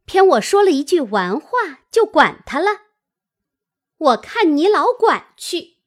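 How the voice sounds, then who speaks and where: Woman acting a pert, high-voiced young maid. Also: very animated, a little slow. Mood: indignant. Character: outspoken, teasing young maidservant girl. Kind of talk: dramatised classic novel storytelling.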